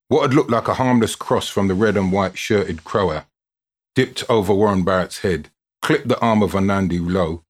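Male narrator, low and husky, expressive storyteller reading from a script with drama. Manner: expressive, dramatic delivery with built-up lists. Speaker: reader, narrator of a written football story.

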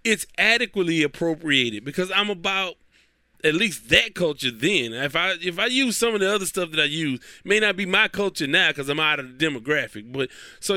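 Stuffy-nosed, bright-voiced podcast host man. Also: fairly high pitch, no echo, clean studio sound. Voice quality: nasal. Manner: rambling, chatty, repeats himself. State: has a cold, stuffy. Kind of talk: casual solo podcast talk.

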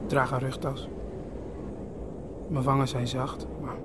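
An actress playing an uncertain, introspective seventeen-year-old youth in an audio drama, speaking in short, halting phrases. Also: female voice, a bass voice, flat delivery, very noisy. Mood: subdued, brooding. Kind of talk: audio drama monologue and dialogue. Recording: very loud music over voice.

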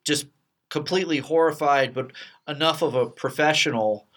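A middle-aged man from Texas with an American accent hosts a casual behind-the-scenes chat, telling stories in a halting, rambling way. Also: on the high side, a bit bright. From Texas, United States. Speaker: podcast host and radio play producer.

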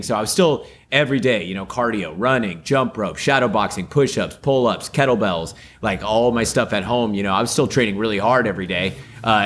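A man's voice: on the low side, fairly bright tone, a little hiss or hum. A man co-hosting a podcast in casual chat, upbeat and rambling with lots of fillers, his voice still a little off after a sore throat. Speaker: podcast co-host.

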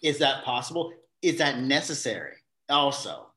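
A man with a voice of ordinary pitch, chatting as a guest on an interview podcast. He works his ideas out as he speaks, doubling back and restarting, and he livens up now and then. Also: normal speed. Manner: thinks aloud with many restarts and fillers, animated bursts. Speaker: guest, theater company facilitator. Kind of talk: casual conversational interview.

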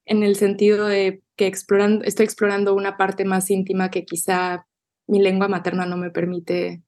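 A young adult woman poet answering interview questions in a thoughtful, measured way, often restarting small phrases as she thinks. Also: medium pitch, monotone, darkish tone. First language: Spanish.

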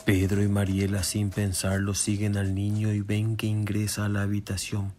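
A man narrates a radio horror drama. His delivery is measured and suspenseful, with little melodic variation, over clear background music. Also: fairly low pitch, completely flat delivery, mellow. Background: prominent music.

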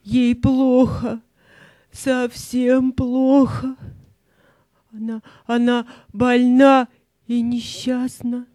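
A woman playing a poor, sorrowful old grandmother in an audio fairy-tale play, tearful and halting. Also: on the high side, level tone, warm tone, no echo, clean studio sound. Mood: sorrowful, pleading.

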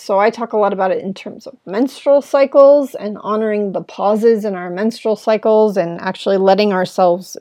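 A woman hosting her own podcast, talking in an earnest, rambling way with a gravelly, smoke-irritated throat. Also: mid-range voice, a little mellow, dry recording, clean studio sound. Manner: earnest, rambling, with frequent "right?". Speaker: podcast host. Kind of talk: reflective solo podcast talk. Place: at home indoors.

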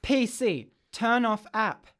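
A man's voice: extremely high pitch, lively intonation, slow pace, a bit bright, heavily acted. A man playing an earnest, adventurous schoolboy inventor in a radio drama, speaking in declarations. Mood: exasperated.

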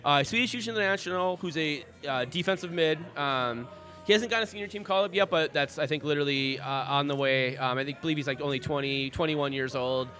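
Rambling, swearing podcast host. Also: male voice, on the high side, a little fast, sharp tone, faint music behind. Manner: rambling, profane, many fillers and restarts. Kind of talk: casual sports chat.

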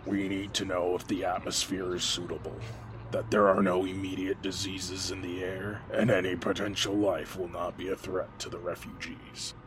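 Man playing a low-voiced, grave military general. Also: flat delivery, a crowd in the background, lightly acted, poor audio. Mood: firm, businesslike. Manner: formal, measured, solemn. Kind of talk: sci-fi audio drama acting, formal briefing.

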